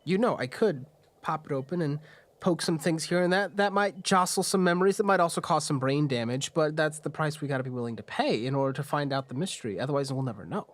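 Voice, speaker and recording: A man's voice: on the high side, quick pace, natural voice. A man voicing an eager, gleeful underground surgeon who is genteel and breezy about grisly risks.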